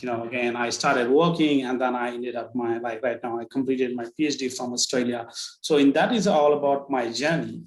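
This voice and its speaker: A man's voice: mid-range voice, a bit bright. A man in his thirties with a Nepali accent, sharing his personal story in a workshop, hesitant and full of fillers like "like, you know". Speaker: workshop participant. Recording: roomy echo.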